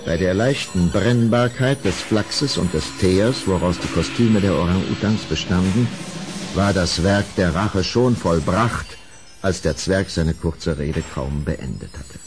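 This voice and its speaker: A man's voice: low-pitched, measured pace, over music. A man narrating a gothic radio play as scripted storytelling, grave and theatrical in manner. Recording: old audio drama recording, background noise.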